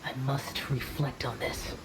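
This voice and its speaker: A man voicing a stoic, brooding ancient warrior who looks young in a video game, speaking in a solemn, formal, breathy manner. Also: mid-range voice, flat delivery, measured pace, background noise.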